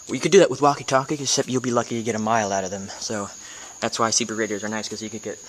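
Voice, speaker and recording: An American man giving a casual, hands-on video tutorial in an informal, halting way, thinking aloud as he goes. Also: lowish voice, steady tone. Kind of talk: casual hands-on how-to demonstration. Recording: noisy amateur camera recording with clicks.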